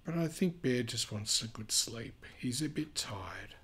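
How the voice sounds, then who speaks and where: A man reading a children's picture book aloud, gently and expressively, with chatty asides. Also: medium pitch, animated, unhurried, breathy edge.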